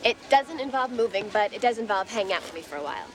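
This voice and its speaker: A woman playing a plucky, friendly new high school girl with a casual, direct manner in a television drama. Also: lively intonation, quick pace, a little hiss or hum, lightly acted. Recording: TV soundtrack with music underneath.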